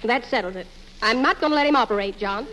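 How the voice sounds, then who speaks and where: An American actress playing a nagging, scatterbrained wife in an old-time radio comedy, insistent and easily wounded. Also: female voice, a little high, quick pace, fairly bright tone, natural voice. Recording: noisy vintage radio recording.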